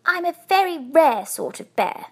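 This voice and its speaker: Storybook young bear, female reader: tiny high voice, earnest, courteous, a little self-important. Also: very animated, moderate pace, full character voice. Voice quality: small, clear voice. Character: small young male bear, polite, proud, earnest stowaway. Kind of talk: children's storybook reading.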